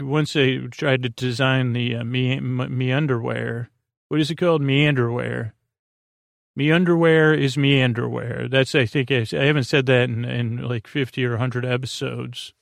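A man with a creaky, gravelly voice rambles through a bedtime podcast monologue, halting, repeating himself and trailing off as host. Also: mid-range voice, fairly even intonation, no echo, clean studio sound. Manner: meandering, halting, repetitive, trailing off.

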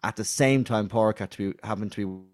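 Low-voiced man, dry close sound. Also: fast talker, no echo. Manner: relaxed, reflective, loose with restarts. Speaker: musician, band founder, interview guest. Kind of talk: casual storytelling.

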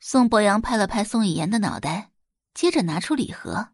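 A woman reads dramatic fiction as the narrator of an audio drama. Her delivery is expressive, with a lively melodic range and medium pitch, captured close and dry. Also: normal speed, slightly rough, dry recording. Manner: expressive storytelling.

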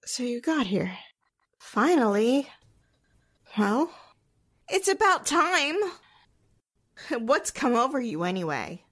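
Actress doing a spooked snippy lady, old radio chiller, high breathy voice, really drawn out and halting. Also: sing-song, fairly bright tone, full character voice. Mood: annoyed, sarcastic. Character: jittery, irritable woman waiting in a cemetery. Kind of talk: 1940s radio drama acting.

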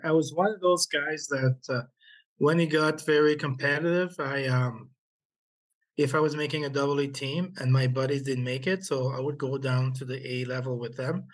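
A middle-aged former hockey player from Haiti, raised in Quebec, telling stories in an interview with many pauses and restarts. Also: male voice, medium pitch, fairly even intonation, low-quality recording. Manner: halting, many fillers and restarts. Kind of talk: interview storytelling.

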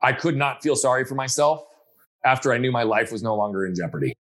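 A man's voice: mid-range voice, fast, fairly bright tone. A man in a conversational interview, speaking in an earnest, reflective way and often checking in with "right?". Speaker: guest.

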